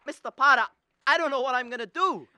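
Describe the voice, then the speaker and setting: A woman's voice: sits high, fast talker, bright, forceful tone, no echo. A teenage girl playing a desperate, ruined young businessman in a radio drama, stammering, hesitant and pleading. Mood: panicked, pleading.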